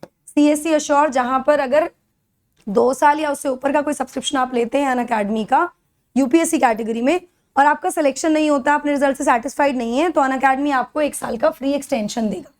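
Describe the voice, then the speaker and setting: A woman's voice: high-pitched, a little fast, a little mellow. A woman with an Indian accent giving an explanatory lecture as a teacher, in an instructional way, mixing in English and checking that listeners follow. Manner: instructional, checks understanding with short tag questions. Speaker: educator for civil service exam courses.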